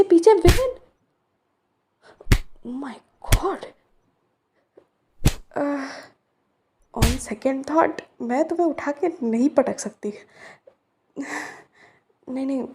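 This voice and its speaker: A woman's voice: a little high, creaky, dark tone, a little hiss or hum. A young woman acting out a romance roleplay to a silent listener, teasing and chatty.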